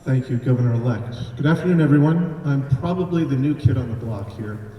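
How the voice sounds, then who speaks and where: A man's voice: medium pitch, fairly quick, dull audio, slight background noise. A man who chairs public image, introducing himself in a polite, self-deprecating way. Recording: roomy hall from far off.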